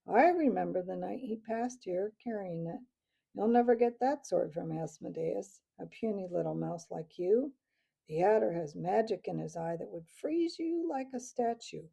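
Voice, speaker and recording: A woman's voice: medium pitch, expressive, moderate pace, creaky, dark tone, slightly rough, exaggerated voice. A woman voicing a boastful male owl captain who mocks and cackles, in a storybook reading. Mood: mocking. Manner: mocking, laughs raucously.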